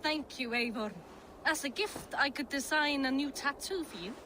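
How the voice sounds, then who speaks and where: A woman's voice: high voice, normal speed, pushed and bright, a little hiss or hum. An actress voicing an eager, polite young female Norse tattooist in a video game. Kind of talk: video game character acting.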